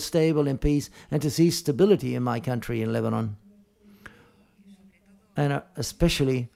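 Gravelly, mellow male interpreter. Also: mid-range voice. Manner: halting, piecemeal phrasing with self-corrections. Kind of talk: live spoken interpreting at a film press conference.

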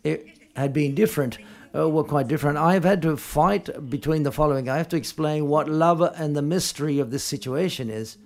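A man interpreting live at a film press conference, speaking in halting, piecemeal phrases and often correcting himself. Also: fairly high pitch, a little mellow.

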